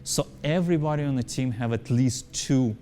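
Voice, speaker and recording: A man's voice: mid-range voice, normal speed, warm tone. A man who speaks English as a second language, answering interview questions as a company founder in an emphatic way, repeating his points and checking with "right?". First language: not English.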